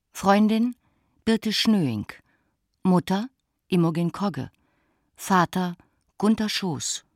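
A woman slowly and deliberately announcing the end credits of a radio play. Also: lowish voice, no room sound, clean studio sound. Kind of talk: reading out credits.

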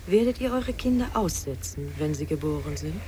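An actress playing a reproachful questioner in an old radio play. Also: female voice, sits low, animated, moderate pace. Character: reproachful female voice from the sky, questioning humanity. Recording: noisy old radio tape, engine ambience.

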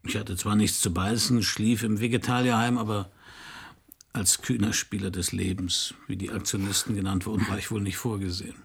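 Low, gravelly hard-boiled detective. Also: male voice, steady tone, normal speed, no echo, full character voice. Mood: reflective. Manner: dry, laconic. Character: hard-boiled, world-weary male private detective. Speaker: narrator and lead. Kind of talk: German crime radio drama.

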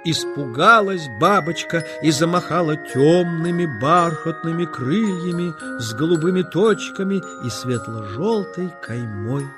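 An actor playing the storyteller of a children's nature tale, with measured, expressive scripted narration. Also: male voice, on the high side, over music. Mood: descriptive.

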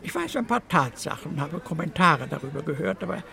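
A man's voice: on the high side, lively intonation, fairly quick, vocal fry, pushed and bright, exaggerated voice. An actor playing a weary, resigned old newspaper man in a radio play, hesitant, rambling and confiding. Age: older. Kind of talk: literary German radio play dialogue.

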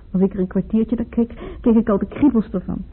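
Actress as a husky, grieving young woman, muffled recording. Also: mid-range voice, steady tone, lightly acted. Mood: wistful. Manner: halting, reminiscing, repeats herself. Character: grieving young woman, Maria, wistful, fragile, tearful, in love. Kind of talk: Dutch radio play acting, intimate monologue. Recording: muffled, phone-like old radio recording.

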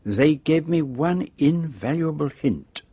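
An actor playing a shrewd, self-assured detective in a radio drama, with a French-speaking accent and precise, theatrical phrasing. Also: male voice, a little high, lively intonation, takes it slowly, mellow, husky, no room sound, exaggerated voice. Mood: triumphant explaining.

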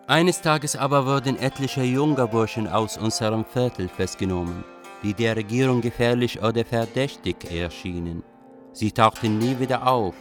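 A man's voice: medium pitch, normal speed, lightly acted. An actor playing a dryly reflective man who confesses his rebellious youth in a radio drama monologue. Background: guitar music.

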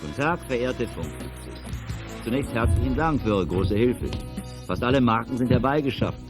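An actor playing a courteous, formal auction-house gentleman in a children's audio drama, speaking politely and declamatorily. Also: mid-range voice, animated, normal speed, music in the background, natural voice. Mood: grateful, formal. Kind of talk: 1980s German children's audio drama acting.

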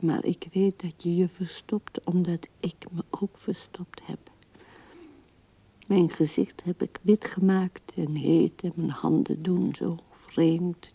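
An actress playing an old widow musing tenderly and haltingly at her husband's grave in a radio drama, her soft voice often dropping to a hush. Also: on the low side, breathy edge, no echo, exaggerated voice. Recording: dull old radio recording.

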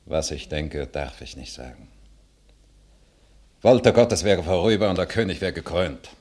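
Suspicious, brooding courtier; bass register, husky, cryptic, period verse. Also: male voice, expressive, unhurried, a little mellow, heavily acted. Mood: foreboding. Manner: cryptic, solemn. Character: grave, suspicious courtier full of foreboding. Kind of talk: classical German verse radio drama.

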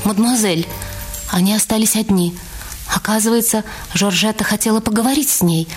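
A woman's voice: mid-range voice, fairly even intonation, a little fast, faint music behind. A woman narrating a dramatized story for radio as a scripted reading.

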